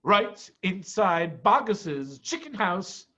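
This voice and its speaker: A man as a confident sly father fox, animated. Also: high-pitched, slow, muffled recording, full character voice. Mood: jubilant, commanding. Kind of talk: storybook character voice.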